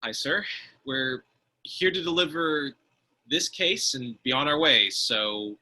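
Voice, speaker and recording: Man playing a nervous delivery guy, tense and husky. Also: a little high, lively intonation, takes it slowly, pushed and bright, exaggerated voice, poor audio. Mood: nervous politeness. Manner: hesitant, placating. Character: nervous, polite young male delivery guy. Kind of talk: amateur screenplay table read.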